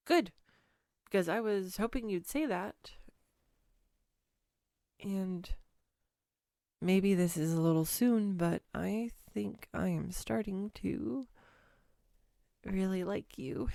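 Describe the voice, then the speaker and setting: A low-pitched, clumsy young woman in love, acted by a woman, talks close and dry in a romantic audio roleplay. She takes her time, tender and self-deprecating, with a hesitant, playful sweetness. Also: creaky, darkish tone, no echo. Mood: shy, tender. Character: clumsy, sweetly awkward young woman smitten on a first date. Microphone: close USB microphone, dry room.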